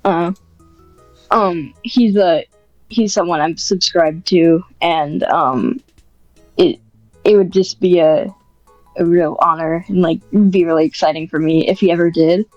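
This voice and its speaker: A woman's voice: on the low side, unhurried, darkish tone, dry recording, lo-fi sound, faint music behind. A woman podcast guest chatting casually in a modest, informal and hesitant way. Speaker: podcast guest, script writer. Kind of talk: casual interview chat.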